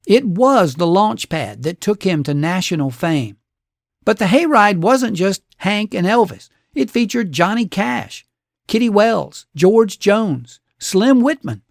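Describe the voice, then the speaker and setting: A man's voice: sits high, dry recording, clean studio sound. A man hosting a radio show, narrating a scripted story with folksy, dramatic phrasing.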